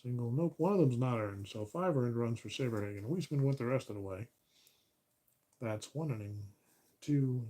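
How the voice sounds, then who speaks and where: A man doing play-by-play of a tabletop baseball replay as host, relaxed and correcting himself as he counts stats aloud, his voice getting a little raspy. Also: mid-range voice, moderate pace. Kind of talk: play-by-play commentary of a board game replay.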